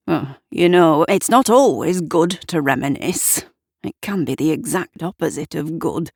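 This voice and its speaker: Woman voicing a troubled old man, low and hesitant. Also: creaky, darkish tone, dry recording, studio quality. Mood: agitated. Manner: hesitant, plain-spoken, dialect turns of phrase. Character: agitated grieving old man, reluctant storyteller, haunted and fearful.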